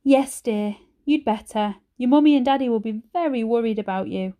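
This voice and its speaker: A woman's voice: fairly high pitch, normal speed, mellow, natural voice. A woman voicing a stern but fond old granny in a storybook, with an emphatic, scolding manner.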